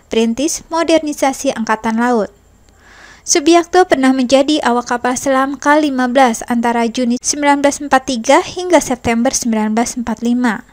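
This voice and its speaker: A woman reading a scripted history narration, working steadily through long lists of names and dates. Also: high voice.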